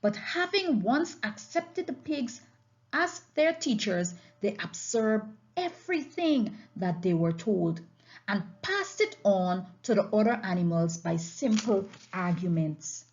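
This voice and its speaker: A woman narrating a storybook aloud as an audiobook reader, with an expressive read-aloud delivery. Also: medium pitch, takes it slowly, highs cut off.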